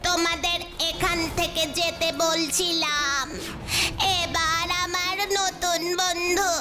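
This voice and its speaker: A worried little animal from a children's cartoon. The voice is very high and drawn out slowly, with a fearful air. Loud music sits behind it. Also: flat delivery.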